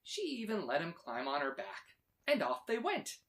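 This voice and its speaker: A man reading a children's story aloud with expressive, dramatic emphasis. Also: a little high, moderate pace. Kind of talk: animated read-aloud narration for children.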